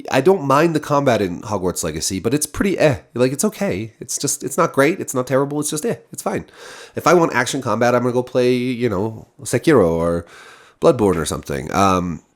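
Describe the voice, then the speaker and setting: A deep-voiced man talks loosely and off the cuff, circling back on his words as he thinks aloud. His voice sounds stuffy and nasal, as if he has a cold. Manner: rambling, many restarts and fillers. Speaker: video creator. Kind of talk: casual unscripted musing to camera.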